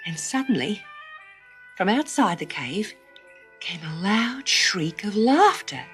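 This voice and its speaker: Lady reading a kids story, really dramatic n expressive, slow pace, bright voice, bit of music behind. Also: medium pitch. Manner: expressive, suspenseful, drops to hushed tones. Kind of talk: dramatic children's story narration.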